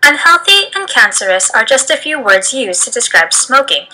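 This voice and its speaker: A woman narrates from a script in a higher, very bright and forward voice. She delivers it as documentary voice-over, with a music bed faintly underneath. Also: big pitch swings, normal speed, poor audio. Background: background music.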